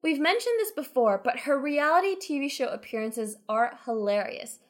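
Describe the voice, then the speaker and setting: A woman's voice: high-pitched, very animated, normal speed. A woman co-hosting a podcast, chatting enthusiastically about a TV drama. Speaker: podcast co-host.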